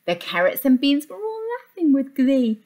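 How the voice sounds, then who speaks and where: A woman's voice: sits high, big pitch swings, moderate pace, a little mellow. A woman reads a picture book to young children with sing-song emphasis, like an infant teacher. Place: small indoor classroom.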